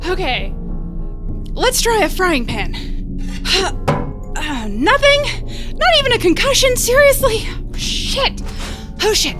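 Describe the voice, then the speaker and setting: A woman's voice: very high-pitched, sing-song, slow, pauses often, full character voice. A woman playing a nervous, sarcastic, rambling repairperson in a comedy horror audio drama. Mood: panicked, wisecracking. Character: flustered, wisecracking woman bluffing as a repairperson. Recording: faint music behind.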